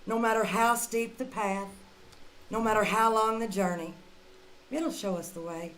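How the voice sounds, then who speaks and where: A woman playing a brisk, kindly lady in the style of 1940s radio drama. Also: medium pitch, steady tone, fairly bright tone, lightly acted, poor audio. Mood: earnest, hopeful. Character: Charlotte, brisk kindly woman, scolding then hopeful. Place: live community theater stage performance.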